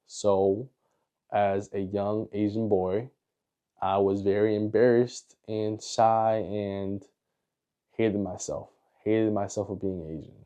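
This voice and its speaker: A man in his twenties with an American accent, speaking in an earnest, deliberate, reflective way as in a confessional video diary. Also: fairly low pitch, fairly even intonation, warm tone, smooth. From United States. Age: 20s. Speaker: vlogger.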